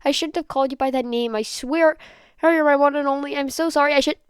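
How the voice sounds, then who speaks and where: A woman's voice: high-pitched, no echo, clean studio sound, voice pushed up, natural voice. A woman voicing an anxious, guilt-ridden teenage boy in a story, stammering and pleading. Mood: pleading apology. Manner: stammering, pleading, rushed rambling. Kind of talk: dramatised fan fiction reading.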